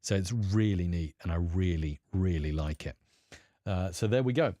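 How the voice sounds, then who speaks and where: A man's voice: sits low, normal speed, warm tone, no echo, studio quality. A man hosting a live stream, chatting casually and answering viewers, rambling upbeat with lots of fillers.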